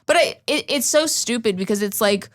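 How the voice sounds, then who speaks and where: A woman's voice: fairly high pitch, normal speed. A woman in her twenties on a podcast, talking casually and emphatically, with many fillers. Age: 20s. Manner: animated, filler-heavy, emphatic. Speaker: podcast co-host. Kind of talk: casual chat.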